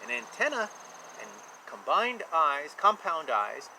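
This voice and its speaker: A man in his fifties reads a picture book aloud in a lively, slightly high voice that swings up and down. He explains things simply and emphatically, repeating himself for young children. He is recorded outdoors, with clear background noise and insect chirps. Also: slow pace, poor audio. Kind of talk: read-aloud storytelling with explanatory asides. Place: outdoor backyard beside a beehive. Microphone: camera at about arm's length.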